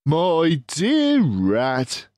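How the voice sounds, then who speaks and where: Man putting on a cocky, swooping, high-pitched storybook fox. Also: wildly swooping pitch, a little mellow, no room sound, studio quality, full character voice. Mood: menacing, suave. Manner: theatrical, emphatic, drawn-out delivery. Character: cocky, suave male fox, sly leader and provider.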